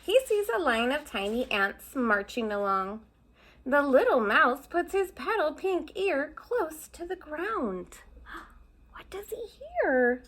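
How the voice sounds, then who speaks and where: Woman teacher, storybook read-aloud for kids, slightly high voice, chatty asides. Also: very animated, slow, fairly bright tone. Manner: explains pictures with asides to young listeners.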